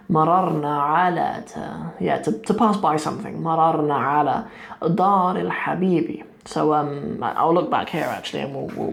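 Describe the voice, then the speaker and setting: A young man in his twenties teaching Arabic poetry line by line, thinking aloud with many pauses and restarts, seated at a desk in a small room. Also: a little high, vocal fry. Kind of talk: explaining a poem line by line.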